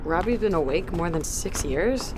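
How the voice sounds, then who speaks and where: A woman's voice: on the low side, animated, moderate pace, noisy background, natural voice. A woman playing a guarded young crewwoman. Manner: guarded, wry, swearing. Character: wary, newly woken young crewwoman. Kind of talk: audio drama acting.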